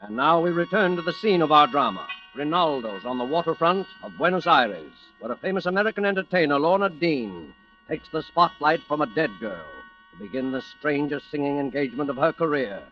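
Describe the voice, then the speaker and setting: A man's voice: fairly high pitch, expressive, fairly quick. A man giving dramatic, scripted narration as the announcer of a 1940s radio suspense programme. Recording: dull, lo-fi vintage radio recording, music behind.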